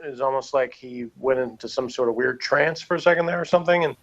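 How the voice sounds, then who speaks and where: A man giving casual review opinions in a rambling, halting way, full of filler words. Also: medium pitch, fairly bright tone, highs cut off. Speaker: review show panelist.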